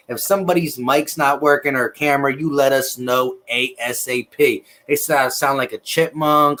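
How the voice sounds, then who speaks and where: A man hosting a casual live-streamed sports podcast, reading out viewer comments in a relaxed, laid-back way over a video call. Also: a little high. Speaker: podcast host reading chat.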